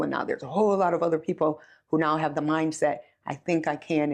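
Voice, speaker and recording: A woman's voice: low voice, darkish tone. A woman of sixty telling her story conversationally as an interview guest, earnest and repeating phrases for emphasis. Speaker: chief executive, podcast guest.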